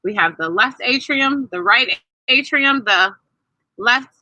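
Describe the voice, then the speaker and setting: Bright, animated woman leading children's story time. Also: on the high side, slow pace, dry recording, highs cut off. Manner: encouraging, teacherly, checks in with questions to listeners. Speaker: storyteller reading to children and quizzing them.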